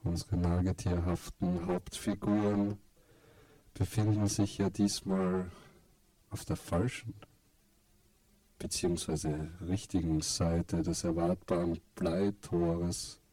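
Very slow, low-voiced man narrating. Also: flat delivery, mellow, dry recording, recorded from a distance, low-quality recording. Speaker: narrator of a radio play. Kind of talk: scripted narration in an experimental radio play.

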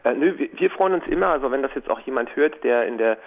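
A man being interviewed, explaining at length in an informal, halting way with many hesitation sounds. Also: medium pitch, expressive. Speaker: interview guest from a Latin America news collective. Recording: tinny, narrow phone-like line.

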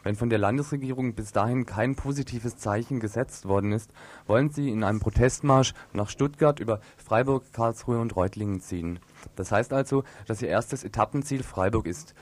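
A man's voice: on the low side, a little fast, a little mellow. A man working as a radio reporter, asking interview questions and reading news reports.

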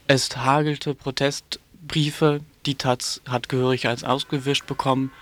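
Halting, polemical man with a flat delivery. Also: mid-range voice. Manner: halting, with frequent hesitations; polemical, sardonic. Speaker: radio presenter giving commentary. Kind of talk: critical radio commentary, partly scripted.

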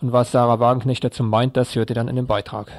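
A man's voice: mid-range voice, flat delivery, fast, dry recording. A man presenting a radio news programme, previewing reports in a semi-scripted style.

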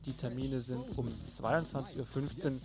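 A man's voice: mid-range voice, fairly even intonation, moderate pace. A man presenting event listings on local radio in an informal, colloquial way. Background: music playing underneath.

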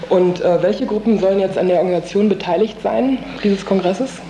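A man's voice: high voice, fairly quick, dark tone. A man working as a radio interviewer, putting questions in a conversational, slightly tentative way. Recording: roomy, echoey, faint background noise.

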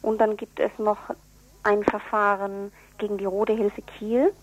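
A woman being interviewed on radio, explaining things in an earnest, deliberate way. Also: mid-range voice, level tone, dry recording, poor audio. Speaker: interviewee, activist for prisoners' relatives newsletter.

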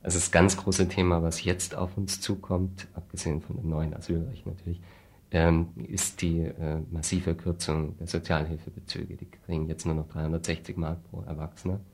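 Very deep-voiced man, flat delivery. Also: moderate pace. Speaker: interview guest, refugee aid activist. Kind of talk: radio interview, earnest explaining.

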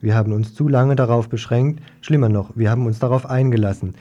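Mellow-voiced man reading radio news. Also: medium pitch, fairly even intonation, fairly quick, dull audio. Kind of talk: reading a scripted political report.

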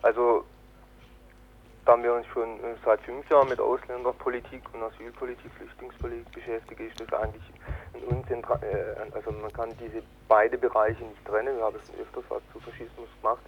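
A man interviewed as an activist, explaining earnestly and haltingly over a noisy, tinny phone-like line. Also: medium pitch, flat delivery, slightly rough. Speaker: political activist being interviewed. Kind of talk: spontaneous spoken interview.

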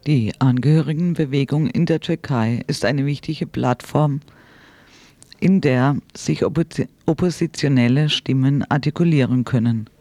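A man's voice: mid-range voice, moderate pace, dark tone, no echo. A man reads a scripted radio report in a sober, formal manner. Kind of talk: scripted news narration.

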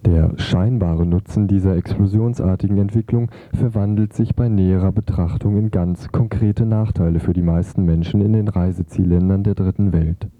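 Deep voiced guy reading a scripted radio report, bit dull recording, super dry. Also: fairly even intonation, moderate pace, few pauses, no echo.

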